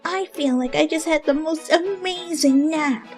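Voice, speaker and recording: A woman's voice: high voice, unhurried, faint music behind, voice pushed up. A woman voicing a brash, hungry undead pegasus mare in a dramatic story reading, with a slangy, pushy, wheedling manner. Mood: breezy, upbeat.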